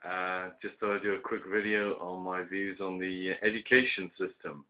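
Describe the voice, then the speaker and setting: A very low-voiced man from the UK talks through his views, hoarse and a little rough from a chest infection. He pauses often with ums and restarts his sentences. The recording sounds dull and lo-fi. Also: flat delivery. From United Kingdom. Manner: hesitant, many ums and restarts. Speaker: vlogger. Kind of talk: casual opinion monologue.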